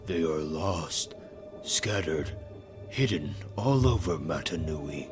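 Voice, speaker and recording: A man playing an earnest, humble little villager in an animated fantasy, speaking with reverence. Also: very deep voice, slow pace, full character voice. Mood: reverent. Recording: music behind the voice.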